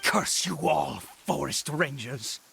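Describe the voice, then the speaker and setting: A man's voice: fairly high pitch, animated, slow, creaky, pushed and bright, slight background noise, exaggerated voice. A man playing a desperate, unhinged middle-aged father in a game, stammering and pleading frantically as he searches for his son. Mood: furious. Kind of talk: dramatic game voice acting.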